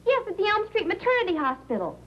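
A woman's voice: very high-pitched, very animated, quick pace, lo-fi sound. A woman playing a naive, wide-eyed teenage schoolgirl in a 1950s sitcom, earnest and eager. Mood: innocent, eager. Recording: slightly noisy vintage television soundtrack.

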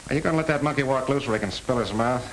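Man playing tough gangster henchman, American, rapid pushy speech, impatient, old crime film, faint hiss. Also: mid-range voice, natural voice, rough recording. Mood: threatening. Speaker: film actor. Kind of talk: 1930s crime film acting. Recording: hissy 1930s film soundtrack.

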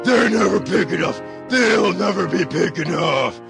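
A man's voice: high-pitched, expressive, measured pace, vocal fry, full character voice. A man voicing a crude, lecherous father in an anime parody dub. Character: lecherous, crude, slow-drawling dad. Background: music.

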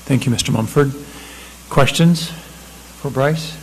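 Council chair, man, mid voice, brisk procedural delivery. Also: mid-range voice, darkish tone, a little hiss or hum, low-quality recording. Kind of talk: chairing a formal meeting. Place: city council chamber.

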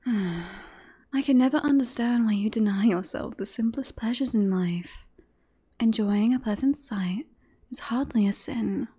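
A woman playing a flirtatious, smug British queen in a fantasy ASMR roleplay, breathy and often whispering, with playful courtly phrasing. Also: on the high side, unhurried, dark tone. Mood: flirtatious teasing. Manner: playful, silky, formal courtly wording.

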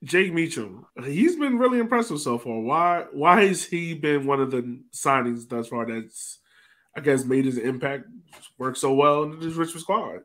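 A man's voice: fairly high pitch, lively intonation, a little slow, fairly bright tone. A man hosting a casual sports podcast, chatting with his panel over a video call. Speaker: podcast host. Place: indoor room on a video call.

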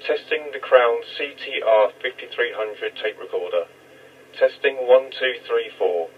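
A man reads a scripted test announcement in a measured, deliberate way, as a demonstrator of vintage equipment. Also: mid-range voice, husky, highs cut off.

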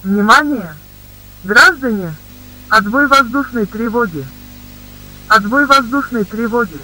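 Casting: male actor as commanding public announcer, piercing bright tone, formal, slight hum and noise. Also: high-pitched, poor audio. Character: forceful public-address announcer sounding the all-clear. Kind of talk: formal emergency broadcast announcement.

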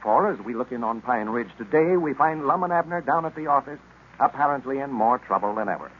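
An American male radio announcer in the 1930s manner. His scripted delivery swings up and down in a lively way. The vintage recording sounds dull, with faint noise. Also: medium pitch, a little fast.

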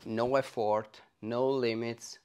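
A middle-aged man with an Italian accent, explaining technical things in an interview with hesitant, halting phrasing. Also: mid-range voice, steady tone, a little mellow, clear, resonant tone. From Italy. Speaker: loudspeaker designer being interviewed. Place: carpeted home listening room, indoors. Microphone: clip-on lapel mic.

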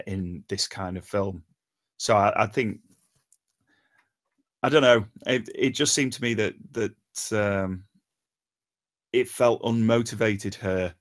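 Low-voiced man, halting and hesitant. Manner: hesitant, halting, frequent long pauses and ums. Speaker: table read participant giving script feedback. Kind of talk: informal spoken critique. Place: remote online voice call.

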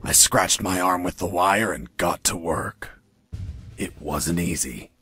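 An actor playing a cocky captured commando pilot in a video game, telling his story in a deadpan, wry way. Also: deep voice, slow. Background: background music.

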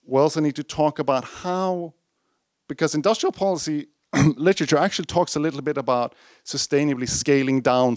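A man's voice: mid-range voice, a little mellow, no room sound. Guy presenting his book at a lecture, keeps saying right?, dry close mic. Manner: measured lecturing, tag questions like "right?". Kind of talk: academic talk.

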